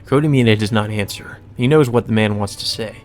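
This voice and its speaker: A man's voice: lowish voice, fairly quick, faint music behind. A man doing a casual let's play, reading story text aloud and adding informal, sweary asides. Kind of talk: casual commentary and read-aloud narration.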